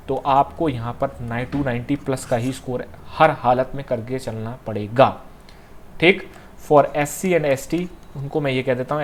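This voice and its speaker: A man gives informal exam advice to students as a coaching teacher, instructively repeating key points and checking in often. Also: mid-range voice.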